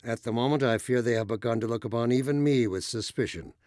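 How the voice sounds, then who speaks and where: An actor playing a pompous, authoritarian male administrator in a video game, giving measured, formal, oratorical speeches. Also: medium pitch, a little mellow, no echo, studio quality, lightly acted. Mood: bitter reproach. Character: pompous authoritarian male administrator, polished collaborator addressing his subjects.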